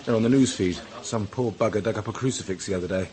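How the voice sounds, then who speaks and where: A man's voice: on the low side, quick pace, darkish tone, lightly acted. A British actor playing a smug, crude, complacent young man in a radio drama, with a blasé, flippant manner. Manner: blasé, flippant, casually boastful.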